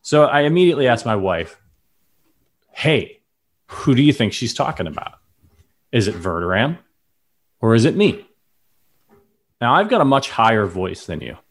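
A man's voice: mid-range voice, very animated, moderate pace, pauses often. A man co-hosting a podcast in casual, playful chat, often laughing. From lives in Chicago. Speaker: podcast co-host.

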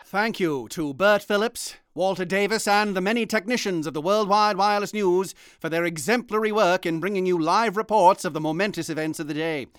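A man's voice: high-pitched, a bit bright, clear, resonant tone, dry recording, clean studio sound. A man playing a grave, formal news anchorman in a vintage-style radio drama. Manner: grave, formal, deliberate announcing. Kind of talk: vintage radio newscast acting.

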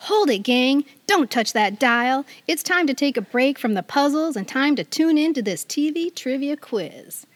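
A woman's voice: sits high, lively intonation, moderate pace, few pauses, no room sound. A middle-aged woman co-hosting a podcast, chatting casually and reading aloud with a playful, theatrical quizmaster delivery. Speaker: podcast co-host. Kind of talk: casual chat, reading quiz questions aloud.

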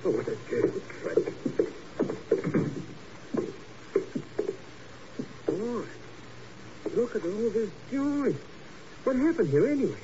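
An actor playing a dim-witted, greedy small-time crook sidekick in a 1950s radio drama, bumbling through slangy, stammering bluster. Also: male voice, very high voice, very animated, normal speed. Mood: wheedling, greedy. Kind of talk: 1950s radio drama comic-relief acting. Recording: noisy, muffled 1950s radio recording.

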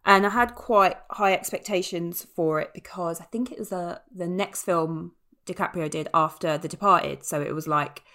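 A woman's voice: fairly low pitch, steady tone, normal speed, bright, forceful tone. A woman hosting a casual film podcast, chatty and opinionated, often correcting herself as she talks. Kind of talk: casual film review chat.

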